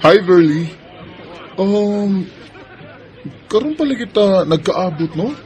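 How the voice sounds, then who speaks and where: A man's voice: high voice, lively intonation, slow, darkish tone, some chatter behind. A man acting an earnest, possessive young boyfriend in a Filipino radio drama. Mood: cheerful.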